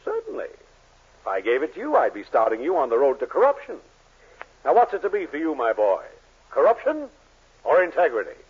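A pompous, avuncular, tight-fisted shopkeeper in a 1940s radio comedy. His fairly high voice swoops dramatically up and down. The old recording is muffled. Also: wildly swooping pitch, a little fast, pauses often, slightly rough, exaggerated voice. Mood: righteous, preachy. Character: pompous, tight-fisted male drugstore owner and boss. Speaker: sitcom actor.

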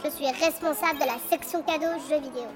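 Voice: sits high, steady tone, quick pace, music in the background, natural voice. A performer voicing an aggrieved elf worker who gripes and explains in fussy detail, in a comic audio drama. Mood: indignant, explaining. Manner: explanatory, griping, over-detailed. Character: aggrieved elf worker grumbling about pension reform.

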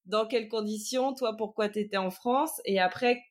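A woman's voice: on the high side, moderate pace. A woman hosting a podcast, leading the interview with friendly, guiding questions. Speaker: podcast host.

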